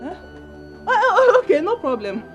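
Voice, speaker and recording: A woman with a Nigerian accent playing an excitable young wife who giggles and brags. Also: very high voice, normal speed, lo-fi sound, music in the background. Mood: pleading. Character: giddy young wife bragging about a car.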